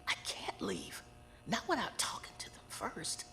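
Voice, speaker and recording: An actress playing a grave, self-assured psychic who works with murder investigators in an audio horror drama. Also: female voice, sits low, wildly swooping pitch, measured pace, sharp tone, in a whisper, full character voice. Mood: urgent, pleading. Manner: grave, insistent, dry retorts. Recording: very reverberant, with noise behind.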